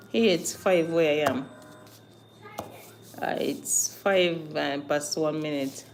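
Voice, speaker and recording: A woman's voice: medium pitch, a little mellow, slight background noise. A woman from Uganda with a Ugandan accent hosts a casual livestream, talking to viewers emphatically, with repeated phrases, rhetorical questions and questioning hums. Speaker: livestream host.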